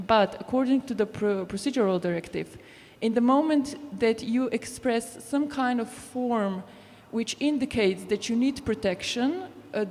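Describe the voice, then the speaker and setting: Woman doing a conference panel talk, explains stuff step by step, big echoey hall, medium pitch. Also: a little mellow. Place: indoor conference venue. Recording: echoey hall with long reverb.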